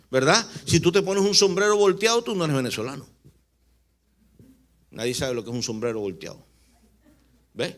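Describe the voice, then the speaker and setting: A man's voice: fairly high pitch, a bit bright. A man from Barranquilla with a Colombian Caribbean accent, preaching a teaching sermon, emphatic, repeating his points and putting rhetorical questions to his listeners. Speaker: preacher, apostle.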